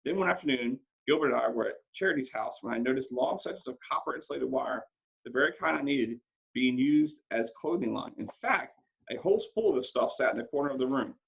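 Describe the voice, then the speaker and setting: Gravelly, husky man reading a story aloud. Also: medium pitch, expressive, a little fast, muffled recording. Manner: dramatic, acts out dialogue, stumbles over words now and then. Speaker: school principal reading a book aloud. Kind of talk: expressive audiobook-style read-aloud of a first-person story.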